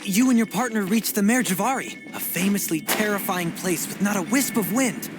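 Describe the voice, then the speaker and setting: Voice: medium pitch, moderate pace, over music, natural voice. An actor voicing an earnest, naive young male rookie adventurer in a video game, eager and sincere. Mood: admiring.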